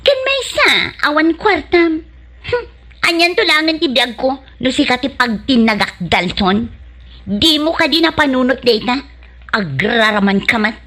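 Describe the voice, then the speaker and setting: A woman's voice: high-pitched, expressive, a little slow, fairly bright tone, heavily acted. A woman acting a pretty, blunt, scornful young village woman in an Ilocano comedy radio drama, with an Ilocano accent. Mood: haughty, mocking. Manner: scornful, dismissive, teasing.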